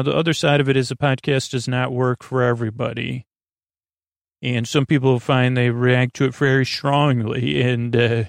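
A man with a creaky voice hosting a bedtime podcast, talking in a soothing, meandering, halting monologue that often interrupts itself. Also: mid-range voice, dry recording, studio quality. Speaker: host of a bedtime sleep podcast.

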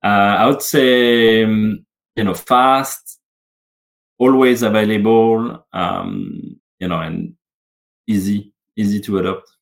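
A man's voice: on the low side, lively intonation, slow, creaky, low-quality recording. A man, speaking as a guest in a casual interview, hesitant and full of fillers and restarts.

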